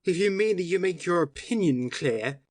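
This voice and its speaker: A sharp-tongued, agitated young man, as a woman reading the book puts him on, his speech leaping up and down in wide, restless swings. His scornful outbursts sound close and completely dry. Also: medium pitch, very animated, a little fast, dry recording. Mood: incredulous. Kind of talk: audiobook character voice.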